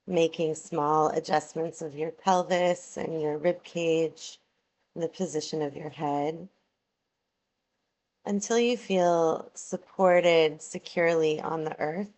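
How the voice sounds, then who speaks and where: Slow, low-voiced woman guiding relaxation. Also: steady tone, poor audio. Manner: soothing, measured, gently instructing with long pauses. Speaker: yoga nidra instructor. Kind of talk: guided relaxation meditation in a yoga class.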